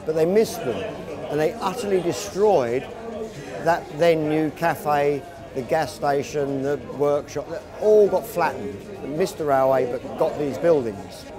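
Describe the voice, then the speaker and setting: A middle-aged man speaks slightly high in pitch, telling his story slowly and reflectively. He sits indoors in a cafe for a documentary interview. A clear music bed runs underneath his voice. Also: animated, a crowd in the background.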